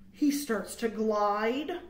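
High-voiced, animated woman reading a picture book. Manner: expressive, dramatic, emphatic storytelling. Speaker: children's librarian, storytime host. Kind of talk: picture-book read-aloud for young children with asides. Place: small indoor room. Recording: roomy, echoing indoor sound.

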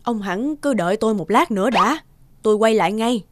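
A woman's voice: fairly high pitch, expressive, a little fast, lightly acted. A woman voicing a kindly, trusting village housewife in a cartoon folk tale, polite and solicitous. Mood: gentle, caring. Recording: music behind the voice.

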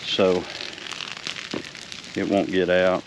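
Low-voiced older farmer by a crackling fire. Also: male voice, flat delivery. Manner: unhurried, plainspoken, with frequent "uh" pauses. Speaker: farmer vlogger. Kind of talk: casual narration while working outdoors. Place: open field outdoors beside a grass fire. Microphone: handheld or body-worn action camera. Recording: noisy outdoor recording with crackling fire.

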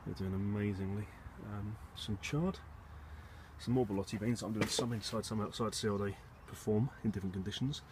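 A man giving a casual, low-key, slightly hesitant tour as a gardening vlogger, thinking aloud as he goes. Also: fairly quick. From England. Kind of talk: casual rambling video tour. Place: outdoors on an allotment and in a polytunnel. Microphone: handheld phone or camera behind the lens. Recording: noisy handheld outdoor recording, wind and background rumble.

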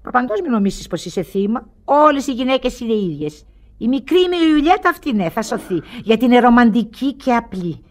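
High-voiced, world-weary old grande dame. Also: female voice, big pitch swings, darkish tone. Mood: weary, reflective. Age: older. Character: rich, bored, lonely old aunt, wry and commanding. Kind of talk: theatrical radio play acting.

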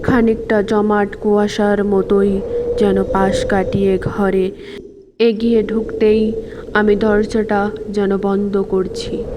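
A woman narrating a scripted story in a measured, dramatic reading manner. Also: mid-range voice, level tone, heavy background noise. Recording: music under the voice. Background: background music.

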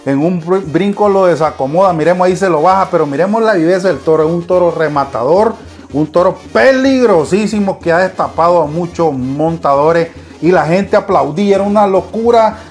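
A man with a Nicaraguan accent calling bull riding, with fast play-by-play that rises to shouts. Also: sits high, faint music behind. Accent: Nicaraguan Spanish. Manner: rapid-fire calls rising to shouts. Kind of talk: excited live sports commentary.

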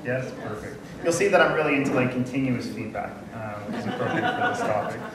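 A man's voice: medium pitch, fast, a crowd in the background. Man in his thirties, chatty conference speaker, lots of ums, recorded from far off in an echoey room with noise. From Canada. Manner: friendly, chatty, many ums and uhs, asks the audience questions. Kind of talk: casual conference talk. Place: large dim conference room. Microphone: room mic from far off.

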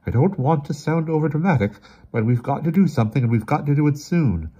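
Storybook character played by a man: a grave, weary brother who is shaken yet insistent. His voice rides high and strained above a normal speaking level, with emphatic, exasperated, theatrical phrasing. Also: lively intonation, a little fast, dark tone, husky. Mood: shaken, urgent.

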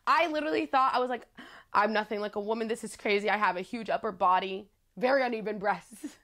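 A young woman in her twenties with a low voice, telling her story candidly to the camera in a casual vlog, chatty and playful with frequent laughs. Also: fast talker, pushed and bright. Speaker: vlogger. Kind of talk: casual talking-head storytelling. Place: small living room with plants, indoors.

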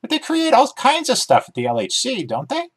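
Man putting on an excitable, high-pitched female dog. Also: a little fast, slightly rough, heavily acted. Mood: curious. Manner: excitable, argumentative. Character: eager, scheming pet dog, female, dabbling in physics.

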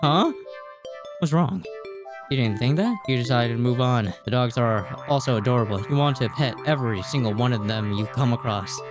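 Man in his twenties, video game streamer, reading on-screen text aloud, casual reactions, close mic, clear music behind. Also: medium pitch, normal speed. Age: 20s. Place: small room indoors at a gaming setup. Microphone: close desk microphone, headset worn.

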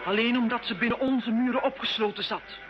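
An actress playing a wary, softhearted tavern girl in an old radio drama. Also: fairly high pitch, fairly quick, lightly acted. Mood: earnest. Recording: dull, noisy old radio recording.

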